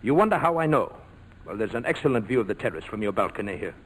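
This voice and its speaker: An actor playing a coolly patient villain, formal, measured and quietly menacing, in a 1940s radio drama. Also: male voice, fairly low pitch, sing-song. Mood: sly, commanding. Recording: noisy old 1940s radio broadcast.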